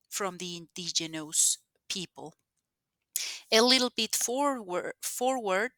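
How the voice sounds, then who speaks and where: A woman with a Spanish accent, a native Spanish speaker, sight-translating a book into English, halting and correcting herself with many fillers. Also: mid-range voice, pushed and bright, clear, resonant tone, no room sound. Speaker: translator reading a book aloud. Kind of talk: live sight translation reading.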